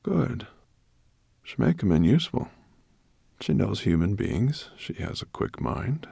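A man voicing a forgetful old male dragon in a breathy murmur, slow and halting, as in a storybook reading. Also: fairly low pitch, big pitch swings, warm tone, no room sound, clean studio sound, heavily acted. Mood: approving.

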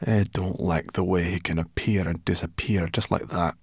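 A man's voice: deep voice, fairly even intonation, normal speed, breathy edge, no echo, studio quality. A man voicing a grumpy, suspicious brownie creature in an audiobook, with a blunt, growling manner. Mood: suspicious grumbling. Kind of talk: audiobook character acting.

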